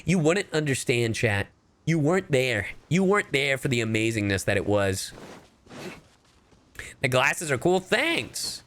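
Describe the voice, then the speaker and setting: A man livestreaming a game, chatting casually and goofily with his audience in an animated way. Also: mid-range voice, a little slow, long pauses, slight background noise. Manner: animated, goofy, addresses chat directly. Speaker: livestreamer, VTuber. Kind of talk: casual livestream chat while gaming.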